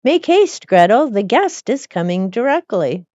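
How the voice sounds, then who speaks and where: A woman voicing a blustery, hurried male master of the house in a storybook. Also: fairly high pitch, big pitch swings, dark tone, no echo, lo-fi sound, pitched above the performer's own voice. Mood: hurried, excited. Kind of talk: storybook character reading.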